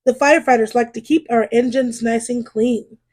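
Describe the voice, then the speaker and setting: Woman reading as a fussy, high-voiced fire chief. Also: fairly even intonation, moderate pace, a little mellow, natural voice. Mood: firm, polite. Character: male fire chief character, bossy, proud, fussy, picture-book cartoon.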